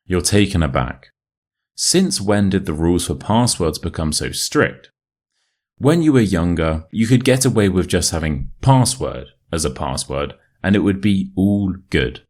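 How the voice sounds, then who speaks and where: A man with a modern RP British accent reading a short story as an English teacher, in a measured, clearly enunciated way. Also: low voice, expressive, darkish tone. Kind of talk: scripted story narration for listening practice.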